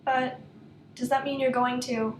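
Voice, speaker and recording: A woman playing a wistful, hesitant figure in a satirical absurdist radio play, speaking in halting fragments that trail off. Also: high-pitched, level tone. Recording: echoey, distant mic with clear noise.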